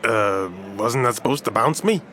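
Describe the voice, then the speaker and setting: An actor playing a casual, unsure street-crew brawler in a game audio drama, speaking haltingly. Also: male voice, medium pitch, expressive, a bit bright, faint music behind, close to an everyday voice. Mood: unsure, friendly. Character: casual, hesitant young male street-crew brawler volunteer.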